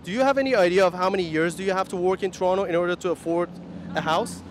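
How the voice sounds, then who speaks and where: Polite young man questioning passersby on a street. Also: high voice. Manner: polite, repeats answers back, quick follow-ups. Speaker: street interviewer. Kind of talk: vox pop street questions. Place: busy downtown street corner outdoors. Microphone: handheld mic. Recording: traffic noise outdoors.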